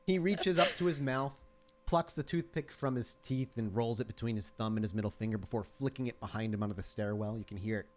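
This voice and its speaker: A man working as game master, narrating a tabletop roleplaying scene in a deliberate, descriptive and dramatic way. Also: mid-range voice.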